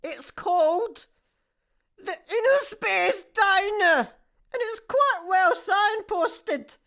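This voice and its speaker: A Scottish woman playing a cheeky, deadpan petrol station attendant in a sci-fi comedy radio play, dry and sarcastic. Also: very high voice, very slow, heavily acted. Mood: chatty, dry. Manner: dry, self-aware, sarcastic.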